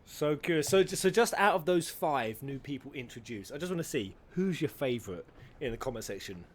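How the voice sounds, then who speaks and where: A man's voice: fairly high pitch, expressive, brisk. A man giving casual, slangy live reaction commentary as a streamer, musing aloud as he watches game cutscenes. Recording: game music behind the voice.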